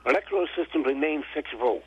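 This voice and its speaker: Husky, dry-sounding man talking cars. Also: mid-range voice, fast, no echo, narrow, tinny audio. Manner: folksy and informal, loose grammar. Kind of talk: casual on-air car chat.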